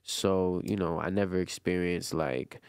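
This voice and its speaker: Low, smooth-voiced Brooklyn man in his twenties. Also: fairly even intonation, unhurried, a little mellow, no room sound, clean studio sound. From Brooklyn, New York. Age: 20s. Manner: loose, rambling, full of "you know what I'm saying" and slang. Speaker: guest, rapper. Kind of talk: casual interview chat.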